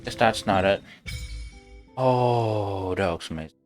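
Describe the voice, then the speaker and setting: A man's voice: lowish voice, slow, soft background music. A man giving casual live game commentary, muttering short reactions to himself as he plays. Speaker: game streamer.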